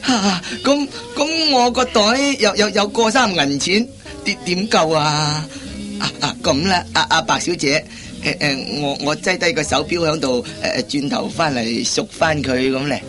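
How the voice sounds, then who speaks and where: A man's voice: a little high, a little slow, over music, lightly acted. An actor playing a timid, flustered customer in a radio comedy, stammering and repeating his words. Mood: panicked, pleading. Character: timid, flustered, henpecked middle-aged man, hapless dance hall customer. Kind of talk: Cantonese radio comedy acting.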